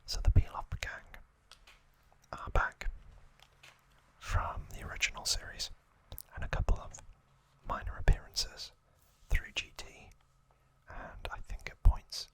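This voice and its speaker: A man hosting ASMR, giving a trivia recap in a soft, breathy, unvoiced whisper with halting, informal asides. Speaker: ASMR host.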